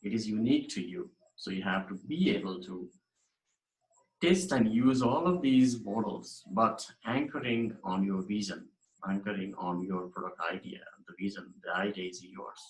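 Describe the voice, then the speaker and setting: Earnest Nepali-born lecturer in an echoey room. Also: male voice, lowish voice, moderate pace. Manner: earnest, emphatic, repeats and restarts phrases. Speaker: university lecturer. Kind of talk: recorded online lecture over slides.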